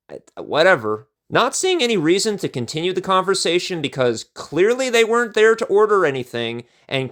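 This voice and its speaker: A male storyteller with a somewhat higher-pitched voice. He delivers a scripted first-person tale in measured phrases, with a theatrical touch to his narration. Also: fairly bright tone.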